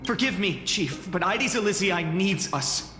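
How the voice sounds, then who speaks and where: A brave young village hero in a fantasy game. He sounds high for a male, earnest and intense, echoing in long reverb with music behind. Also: lively intonation, measured pace. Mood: resolute. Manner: intense, heartfelt, resolute. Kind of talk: dramatic scripted game acting.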